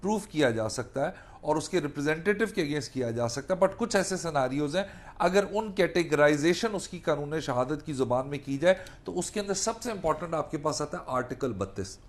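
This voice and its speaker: A man's voice: on the high side, animated, brisk, few pauses. A man with a Pakistani accent giving an explanatory law lecture, didactic, restating points and mixing in English legal terms. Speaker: law lecturer and defence attorney.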